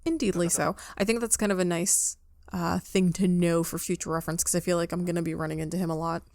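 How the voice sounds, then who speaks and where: A woman's voice: lowish voice, flat delivery, quick pace, a little mellow. A woman playing a visual novel aloud, chatty and breaking off to comment in casual asides. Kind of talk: let's play narration with casual asides.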